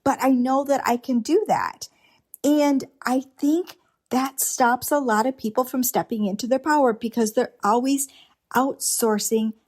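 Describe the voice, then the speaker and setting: A middle-aged woman hosting a solo podcast, talking earnestly and unscripted, with restarts and rhetorical questions. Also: a little high. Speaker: podcast host. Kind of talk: reflective solo podcast monologue.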